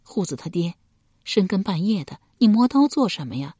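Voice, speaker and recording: A woman's voice: sits high, expressive, a little fast, darkish tone, dry recording, clean studio sound, pitched above the performer's own voice. A woman storyteller playing an anxious young mother from ancient times, questioning and pleading with her husband. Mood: puzzled, wary. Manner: anxious, questioning, tearful when protesting. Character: frightened pleading young mother, wife of an ancient court cook.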